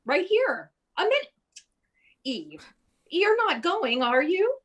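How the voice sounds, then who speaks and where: An actress playing a sociable, polite friend who also narrates in a radio play. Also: female voice, extremely high pitch, big pitch swings, slow, a bit bright, heavily acted. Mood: eager. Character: sociable, polite woman friend recalling events.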